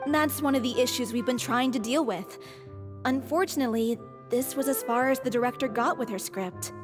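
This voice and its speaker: Actress: earnest troupe member, bright high register, game score underneath. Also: female voice, natural voice. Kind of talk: game cutscene voice acting. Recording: game soundtrack music behind.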